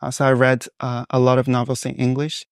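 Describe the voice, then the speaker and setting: A young man speaks in a smooth, clear voice that stays flat and even in pitch. He answers interview questions thoughtfully, with many small pauses and fillers. The sound is dry and close. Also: no echo. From China. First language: Mandarin Chinese. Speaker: guest.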